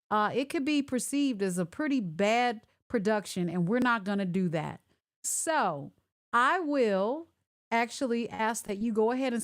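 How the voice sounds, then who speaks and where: A woman hosting a live online talk show over a video call, chatty and repeating herself as she checks whether her guests can hear her. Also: fairly high pitch. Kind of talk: casual live-stream chat.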